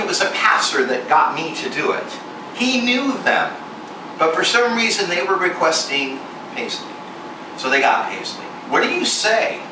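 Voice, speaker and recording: A man in his forties giving a candid, self-mocking personal testimony sermon, with sudden jumps in pitch for emphasis. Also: recorded from a distance. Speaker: ministry performer speaking to a congregation. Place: large echoey venue room with air conditioning hum. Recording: echoey room recorded from afar with loud air-conditioner hiss.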